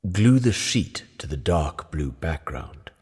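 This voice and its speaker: Extremely deep-voiced man reading slowly. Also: sing-song, a little mellow, slightly rough. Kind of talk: flat read-out of test sentences. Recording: long reverb, some background noise.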